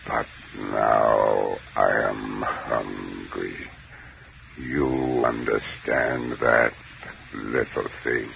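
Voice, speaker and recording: An extremely deep, gravel-throated alien being speaks with cold contempt and menace. The delivery is very slow and heavy, like a ruler addressing lesser creatures. It comes through a muffled 1940s radio horror recording. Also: male voice, level tone, a little hiss or hum, exaggerated voice. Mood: scornful. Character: arrogant alien mind from a dying world, menacing.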